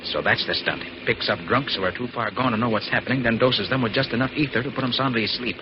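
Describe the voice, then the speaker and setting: An actor playing a confident, commanding master detective with an assured manner in a 1940s radio drama. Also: male voice, mid-range voice, fast, lightly acted. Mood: musing, watchful. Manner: assured, crisp, authoritative. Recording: dull, noisy 1940s radio recording.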